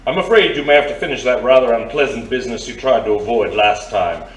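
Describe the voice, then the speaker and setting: An actor playing a wily, avuncular older crime boss in a noir radio drama, genteel, wry and insinuating. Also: male voice, medium pitch, fast talker, natural voice. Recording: roomy, distant from the mic.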